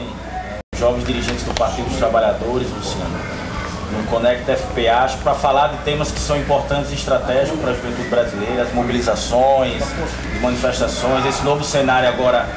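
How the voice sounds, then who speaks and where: Brazilian man, emphatic political speaker, roomy sound, heavy background noise. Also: medium pitch. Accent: Brazilian Portuguese. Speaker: party youth secretary. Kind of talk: casual political talk. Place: open-air terrace. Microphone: handheld mic.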